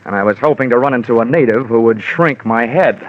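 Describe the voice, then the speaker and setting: A man's voice: medium pitch, fairly quick, natural voice. Guy acting wisecracking american insurance sleuth, old time radio, dry and jaded, music around it, dull sound. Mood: dry, wry. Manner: sardonic, wisecracking. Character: wry world-weary American freelance insurance investigator. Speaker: lead and narrator. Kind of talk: 1950s detective radio drama acting.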